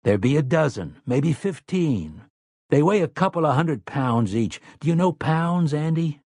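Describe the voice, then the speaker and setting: A man's voice: a little high, sing-song, measured pace, darkish tone, no room sound, studio quality, voice pushed up, full character voice. A man voicing a wily, cheerful grown man who talks casually and conspiratorially in an audiobook. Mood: sly, friendly.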